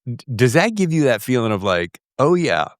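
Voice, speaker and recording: A man's voice: medium pitch, very animated, moderate pace, no room sound, clean studio sound. A man hosting a podcast, interviewing in a casual, conversational and emphatic way, acting out little imagined quotes.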